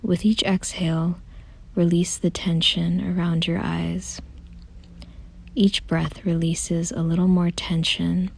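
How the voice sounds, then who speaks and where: A woman softly guiding a meditation in a gentle, calm, unhurried way, often close to a whisper. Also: lowish voice. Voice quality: soft, breathy, often near a whisper. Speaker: meditation guide. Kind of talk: soothing guided meditation, scripted.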